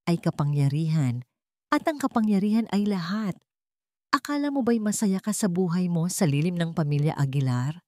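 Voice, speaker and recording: A woman's voice: on the low side, darkish tone, no echo, studio quality, close to an everyday voice. Woman voicing a cold, scheming male villain. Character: cold, greedy, scheming man who betrayed the family.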